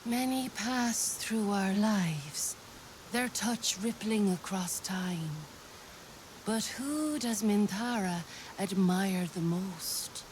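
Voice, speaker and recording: A woman playing a mysterious fey creature in a fantasy video game, speaking cryptically and deliberately, often slipping into a whisper. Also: mid-range voice, a little mellow, slight background noise, rough recording. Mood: cryptic, probing. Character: mysterious female fey creature testing lovers' bond.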